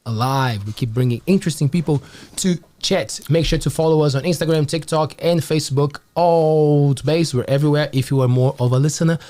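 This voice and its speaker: A man's voice: on the high side, fairly quick, few pauses, mellow. A man hosting a podcast, running through an upbeat promotional intro, then interviewing with frequent restarts. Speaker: podcast host.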